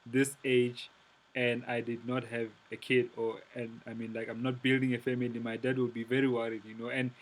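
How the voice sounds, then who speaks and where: A man's voice: mid-range voice, level tone, brisk, poor audio. A man in his thirties from South Africa hosting an interview, asking personal questions hesitantly with many restarts. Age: 30s. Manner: hesitant, searching for words. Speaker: podcast host. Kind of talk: casual interview.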